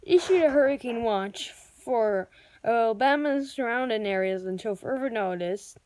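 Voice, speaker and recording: A woman improvising mock emergency news and weather bulletins in a halting, stumbling amateur announcer delivery. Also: on the high side, expressive, a little mellow, slight background noise. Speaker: amateur video creator playing broadcast announcer.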